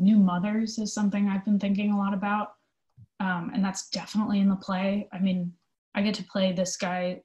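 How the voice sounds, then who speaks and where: A woman in her twenties speaks as an interview guest at a medium pitch. She is earnest and reflective, talking through her thoughts in a discursive, conversational way. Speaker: theater company manager, interview guest.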